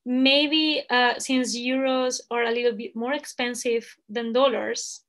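Young woman, Spanish-accented English, high voice, slow and halting, laptop-mic video chat. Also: steady tone, a bit bright. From Spain. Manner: hesitant, many fillers, laughs easily. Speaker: guest language assistant. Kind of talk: casual video call chat. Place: indoor room at home. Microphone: laptop webcam video call.

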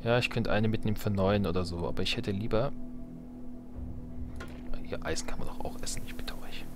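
A man's voice: fairly low pitch, brisk, whispered. A man giving casual, jokey gaming commentary as a let's play host, playfully theatrical and muttering asides to himself. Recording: music behind the voice. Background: game music.

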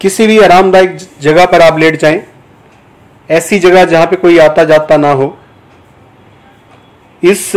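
A man giving guided yoga nidra instruction as a teacher, in measured, deliberate short phrases with pauses between them. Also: fairly high pitch, rough recording. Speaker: yoga teacher guiding a meditation.

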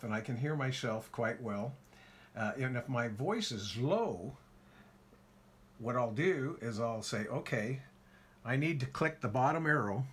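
Laid-back guy talking thru his ham radio setup at home, uh-heavy, faint hiss behind. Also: mid-range voice. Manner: relaxed, folksy, frequent "uh" fillers. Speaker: ham radio operator demonstrating his station. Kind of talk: casual how-to walkthrough. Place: home radio shack by a window.

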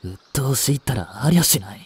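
A man's voice: medium pitch, animated, fairly quick, breathy edge. A man playing a devoted, jealous young butler in a voice drama, with formal, clipped, haughty speech. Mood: irritated, jealous. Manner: formal, polite, clipped, turning contemptuous toward a rival. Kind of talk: Japanese voice drama acting.

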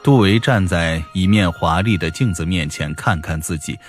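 A man reads fiction aloud as an audiobook narrator. His voice sits low, and his delivery is scripted but expressive and dramatic, with faint music underneath. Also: moderate pace.

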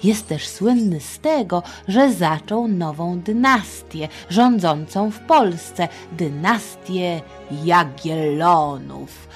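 Slow, playful woman storyteller. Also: on the low side, faint music behind. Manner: chatty, theatrical, deliberate asides. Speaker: storyteller, podcast host. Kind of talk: playful history storytelling for children.

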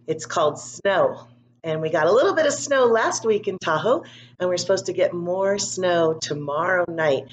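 A woman hosting a read-aloud for young children, reading a picture book expressively and deliberately with chatty asides. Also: fairly low pitch, slight background noise. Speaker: school read-aloud host.

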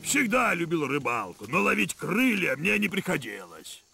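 An actor playing a sly, sardonic explorer with a drawling, mocking delivery in an animated adventure dub. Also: male voice, on the high side, normal speed, gravelly, faint music behind, heavily acted. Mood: sarcastic. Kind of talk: animated adventure series dubbing.

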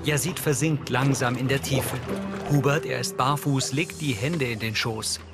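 A man's voice: medium pitch, unhurried. Neutral male narrator over music. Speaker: audio description narrator. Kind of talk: scripted scene narration, present tense.

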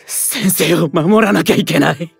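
An actor playing a gentle, guilt-ridden young man in a Japanese voice drama, crying as he speaks in a breathy, broken voice. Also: on the high side, measured pace, dry recording, faint music behind, heavily acted. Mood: tearful remorse.

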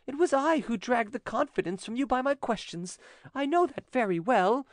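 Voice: medium pitch, animated, moderate pace, no echo, clean studio sound, pitched above the performer's own voice, natural voice. A narrator voicing a timid yet insistent, doting French widow in an audiobook story. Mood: indignant, pleading.

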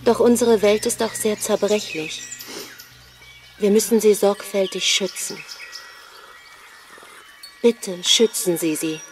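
A serene female alien android played by a woman speaks very slowly and ceremoniously in a radio drama. Her pitch sits in a medium register, graceful and gracious, with clear music behind her. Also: lightly acted. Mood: solemn, gentle.

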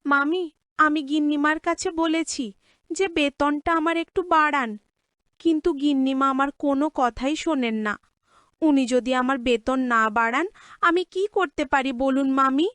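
A woman's voice: high voice, moderate pace, a bit bright, no room sound. A woman playing a put-upon young orphan housemaid in a cartoon drama, pleading respectfully in a plaintive way. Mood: tearful pleading.

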